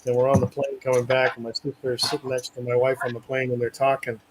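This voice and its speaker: A man's voice: mid-range voice, completely flat delivery, quick pace. A man from Massachusetts telling a family story in a casual group chat, speaking in a chatty way. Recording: clear background noise.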